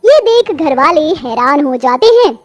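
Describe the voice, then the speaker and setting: A woman's voice: high-pitched, sing-song, unhurried. A woman reading scripted narration for a children's story.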